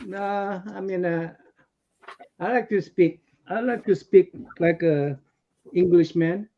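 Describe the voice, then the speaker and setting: A man's voice: high-pitched, takes it slowly. A man with a Korean accent chatting casually as a guest on a hangout. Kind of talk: casual chat. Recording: dull lo-fi call audio.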